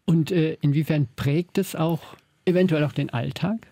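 A man with a slightly raised pitch and a warm, dark tone asks an author questions. He sounds calm and curious and now and then hesitates. The recording is dry and close. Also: normal speed, no room sound. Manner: calm, inquiring, with occasional hesitations. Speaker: radio interviewer. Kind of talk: interview questions.

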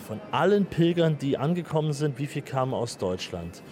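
A man's voice: medium pitch, very animated, moderate pace, a little mellow, faint music behind. A man hosting a casual radio interview outdoors on a city square, chatty and joking, with plenty of fillers. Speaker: radio host.

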